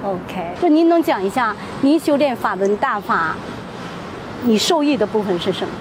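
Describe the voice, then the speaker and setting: A woman's voice: mid-range voice, expressive, moderate pace. A woman interviewing people on location, often murmuring short acknowledgements as they talk. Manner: frequent murmured backchannels. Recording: clear outdoor ambient noise.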